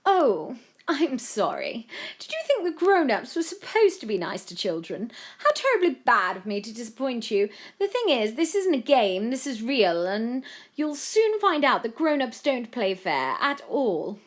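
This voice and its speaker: A haughty storybook villainess, played by a woman reader, scornful of children. She sneers with mock politeness, menacing in every phrase, her raised voice swinging widely in pitch. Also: sing-song, unhurried. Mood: menacing gloating.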